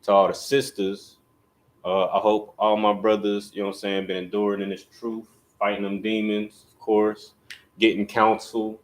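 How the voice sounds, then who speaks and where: A man with a fairly low voice leads an online class and speaks straight to his viewers. His delivery is loose and conversational, with the same filler phrase coming back often as he gives instructions. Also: flat delivery, normal speed. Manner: casual, loose delivery with repeated "you know what I'm saying". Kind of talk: livestreamed religious language class.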